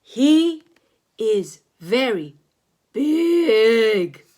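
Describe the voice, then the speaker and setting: A worried male elephant from a picture book, voiced by a woman reading aloud, talks in a high, sing-song voice. He measures each word slowly and deliberately, fretting about size. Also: very animated, a little mellow, heavily acted.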